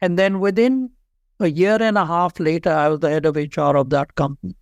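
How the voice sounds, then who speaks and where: Man, Pakistani accent, fifties, earnest, repeats key points, crisp resonant tone, high pitch. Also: fairly even intonation, brisk, no echo. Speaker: guest, HR leader and author. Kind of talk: interview storytelling.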